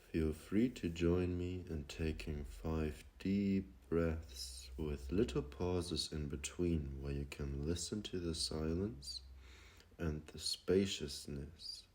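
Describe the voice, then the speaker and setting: A man leading a guided meditation in a gentle, unhurried manner, leaving long pauses between phrases. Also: very deep voice.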